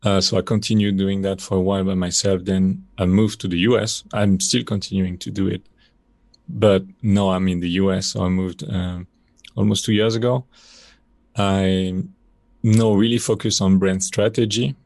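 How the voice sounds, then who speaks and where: A man's voice: low voice, normal speed, gravelly, dry recording. A man in his thirties with a French accent, a podcast guest talking casually about his work, with many fillers. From France. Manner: relaxed, rambling, many fillers. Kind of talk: casual conversational interview.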